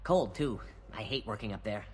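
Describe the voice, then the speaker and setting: An actor playing a blunt, bitter, overworked construction worker in a video game. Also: male voice, fairly low pitch, expressive, moderate pace, slight background noise, lightly acted.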